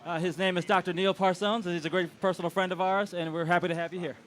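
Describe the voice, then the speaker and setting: A man's voice: high voice, little pitch movement, fast, fairly bright tone. A man emceeing an outdoor community celebration, hyping up the crowd as he introduces speakers. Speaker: master of ceremonies. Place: outdoors on a city street.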